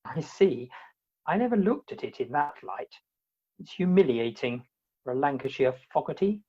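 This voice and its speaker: A woman with a husky voice playing a proud, earnest young gentleman in a comic radio play. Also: deep voice, very animated, normal speed, exaggerated voice. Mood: proud, humbled. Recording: slightly dull video call audio.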